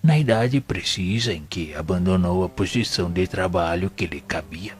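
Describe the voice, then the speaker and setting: A man with a Brazilian accent playing a venerable, wise and patient spirit mentor in an audio novel, speaking in a formal, didactic, measured way. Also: deep voice, lightly acted. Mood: solemn, instructive. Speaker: audio drama actor. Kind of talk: solemn scripted spiritist teaching in an audio novel.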